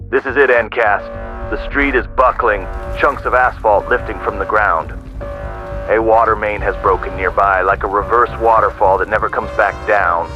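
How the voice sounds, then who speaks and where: An actor playing a doomed, steadfast field reporter giving a grave, poetic eyewitness account in an audio drama. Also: male voice, lowish voice, pushed and bright, muffled recording. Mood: urgent awe. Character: doomed male field reporter witnessing the world dissolving, awestruck, steadfast. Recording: music under the voice. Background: music.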